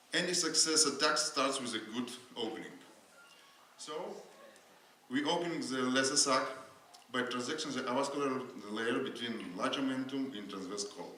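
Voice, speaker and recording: A non-native English-speaking man giving a surgery lecture at a conference, halting, with many fillers and restarts. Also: mid-range voice, sharp tone. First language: not English. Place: lecture hall. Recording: very echoey hall with faint noise.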